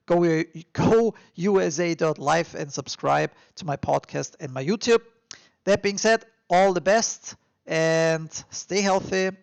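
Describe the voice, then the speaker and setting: Higher-voiced Austrian man, slightly creaky. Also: dry recording. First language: German. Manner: emphatic, encouraging, with informal repetition. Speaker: podcast and YouTube host. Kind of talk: motivational advice monologue to listeners.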